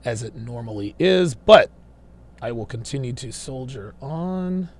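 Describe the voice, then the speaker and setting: Animated man hosting a livestream. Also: mid-range voice, a little slow. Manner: laughs often, animated and wry while reading comments. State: losing his voice. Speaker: livestream host reading super chats. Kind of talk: casual livestream chat.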